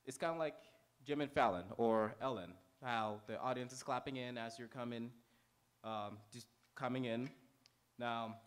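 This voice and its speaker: A man hosting a live theater pre-show, addressing the audience from the stage in an informal, halting way. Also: mid-range voice.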